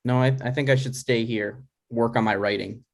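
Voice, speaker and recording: Guy reading dry skeptical adult son, deadpan, kinda halting, middle pitch, comedy script. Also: vocal fry, natural voice. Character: dry, skeptical grown son, struggling writer. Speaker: actor in a table read. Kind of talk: conversational comedy script read aloud.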